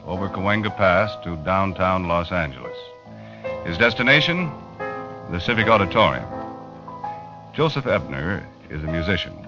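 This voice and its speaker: An actor playing a matter-of-fact American magazine writer, narrating his investigation in an old radio drama. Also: deep voice. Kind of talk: 1940s-50s radio drama first-person narration. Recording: muffled vintage radio recording with music.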